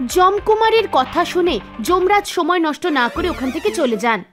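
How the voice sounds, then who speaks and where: A woman with a strikingly high voice narrates a scripted cartoon folk tale. She works as a storyteller, and soft music plays underneath her. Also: expressive, moderate pace. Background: background music.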